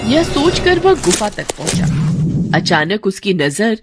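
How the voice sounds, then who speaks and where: A woman narrating a children's story in an expressive storybook manner, with music playing underneath. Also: mid-range voice, measured pace. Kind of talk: scripted narration of a kids story. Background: background music.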